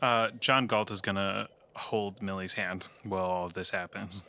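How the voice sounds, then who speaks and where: A man's voice: low-pitched, steady tone, moderate pace, gravelly, sharp tone, a little hiss or hum. A man playing a tabletop game, casually describing what his character does. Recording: music behind the voice.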